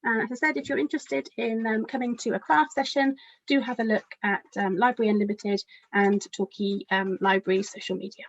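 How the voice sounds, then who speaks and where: A woman's voice: a little high, level tone, fairly quick, dry recording, muffled recording. A woman children's author reading a picture book aloud expressively, speaking directly to young listeners.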